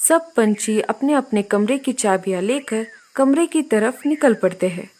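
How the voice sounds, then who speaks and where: A woman's voice: a little high, animated, a little slow, a little mellow. A woman narrating a scripted story for children.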